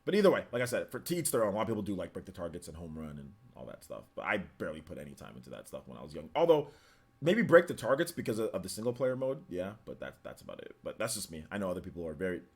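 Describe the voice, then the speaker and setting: A young man hosting a live stream, chatting casually and answering viewer comments in an animated, incredulous way, with many restarts. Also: deep voice, very fast, slightly rough.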